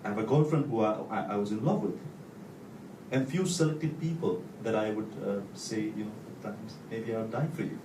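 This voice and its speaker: A man from Nepal with a Nepali accent, speaking personally to an audience in halting, deliberate phrases. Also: lowish voice. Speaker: guest speaker, volunteer. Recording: echoey room, distant mic, clear noise.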